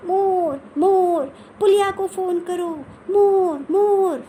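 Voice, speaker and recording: A woman voicing a boastful, excitable mother in a children's audiobook, with an emphatic, showy manner. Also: very high-pitched, takes it slowly, slight background noise, pitched above the performer's own voice. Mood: alarmed shouting. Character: boastful, excitable Indian mother, self-styled peacock-catching expert.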